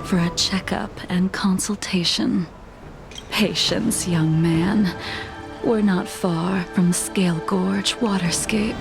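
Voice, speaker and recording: A woman playing an aloof, haunted swordswoman in a video game, calm and measured. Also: lowish voice, music in the background. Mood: calm, cool. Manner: calm, measured, breathy at times. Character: composed, aloof, haunted swordswoman of great age.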